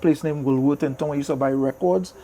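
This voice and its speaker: Fast-talking, mellow Trinidadian man. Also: on the high side, slight background noise. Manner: informal, peppered with "yeah man" and "you know". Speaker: interview guest, DJ and singer. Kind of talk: casual reminiscing chat.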